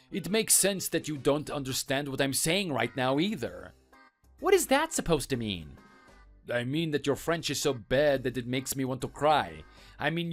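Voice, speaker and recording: A man voicing a scornful, boastful French mechanic who taunts and belittles in a lower, quieter voice, with faint music behind. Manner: taunting, contemptuous.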